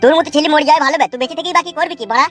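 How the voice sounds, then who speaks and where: A brash young village lad voiced by a man mocks and taunts in coarse slang. The cartoon voice is high and very fast, with faint music behind. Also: a bit bright. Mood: mocking. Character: brash, sly, mocking young village male friend. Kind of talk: cartoon comedy voice acting.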